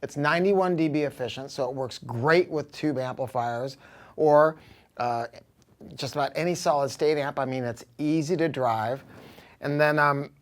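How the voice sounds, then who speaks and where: An American man giving an informal, off-the-cuff product pitch as a dealer, talking in an emphatic, slangy, salty way. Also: normal speed. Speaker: audio dealer presenting a product. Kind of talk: informal product review, off-the-cuff sales talk.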